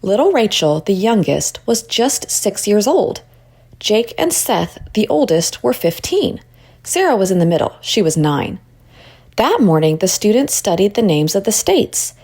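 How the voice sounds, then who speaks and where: Woman reading a kids story out loud, really lively pitch, bit raspy. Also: measured pace. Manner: expressive storytelling. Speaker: storybook reader. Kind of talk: children's read-aloud narration.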